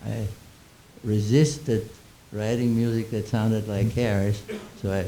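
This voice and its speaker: A man in his nineties, born in Austria with German as his first language, telling old stories in a halting, chuckling way with many restarts. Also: fairly low pitch, little pitch movement, mellow, slight background noise, low-quality recording. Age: 90s. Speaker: interviewee. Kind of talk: reminiscing Q&A.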